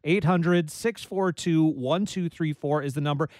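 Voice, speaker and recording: A man's voice: on the high side, unhurried, darkish tone, no room sound. A man hosting a public radio call-in show, chatting in a jokey, easygoing way from a studio. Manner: jokey, conversational, self-deprecating. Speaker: radio talk show host. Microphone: studio microphone.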